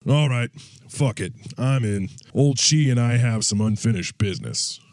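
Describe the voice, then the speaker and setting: A man playing a blunt, gruff war veteran with a grudge in an audio drama. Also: medium pitch, moderate pace, darkish tone, no room sound, studio quality, natural voice. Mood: grimly resolved. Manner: blunt, swearing war storyteller. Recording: battle sound effects, faint noise.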